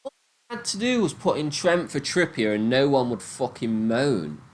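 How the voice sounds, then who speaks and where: A man with a Nottingham accent chatting live with viewers, swearing and ranting in a casual, animated way. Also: mid-range voice, moderate pace. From Nottingham, England. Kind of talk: casual live-stream chat.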